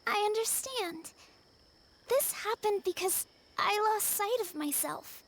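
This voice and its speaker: A woman voicing a gentle, earnest young girl in a video game, with a soft, childlike voice. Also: extremely high pitch, animated, slow pace, full character voice. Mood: earnest, tender. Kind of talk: video game character acting.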